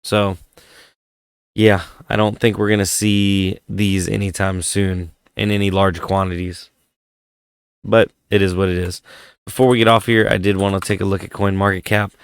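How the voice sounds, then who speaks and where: A man's voice: low-pitched, little pitch movement, moderate pace, smooth, dry recording, studio quality. A man hosting a casual hardware vlog, rambling and thinking aloud, his voice worn from a head cold. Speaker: tech channel host.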